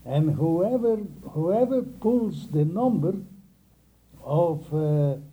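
An elderly man speaks very slowly and in a slightly raised register, his pitch swinging widely as he halts and restarts his phrases. His voice is dark and husky. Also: rough recording. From Russian Empire, near Kiev. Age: older. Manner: halting, with many restarts and repeated words. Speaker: interviewee. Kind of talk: oral history recollection.

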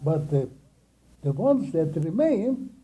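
Halting elderly man. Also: a little high, sing-song, mellow, breathy edge. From Russian Empire, near Kiev. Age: older. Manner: halting, with many restarts and repeated words. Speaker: interviewee. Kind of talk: oral history recollection.

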